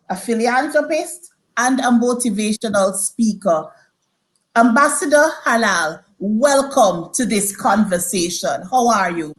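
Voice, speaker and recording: A woman co-host on a video call reading a formal, measured introduction of a guest speaker. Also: fairly high pitch, rough recording.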